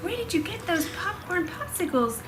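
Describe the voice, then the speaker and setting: An eager little boy kitten, put on by a woman, high and bubbly. He exclaims like an impressed younger brother in a storybook. The recording has clear noise behind it. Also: sing-song, normal speed.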